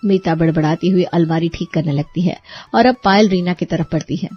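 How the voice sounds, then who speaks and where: A woman's voice: fairly low pitch, brisk, dry recording, lo-fi sound. A woman reading scripted storybook narration as the narrator.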